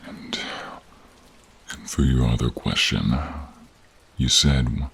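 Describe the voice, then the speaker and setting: A man playing a gentle, protective male dragon in human form, speaking tenderly and haltingly in a deep, gravelly, husky voice for an audio role-play. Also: exaggerated voice. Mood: earnest. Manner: tender, halting, hushed, drawn-out phrasing. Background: rain and cave ambience.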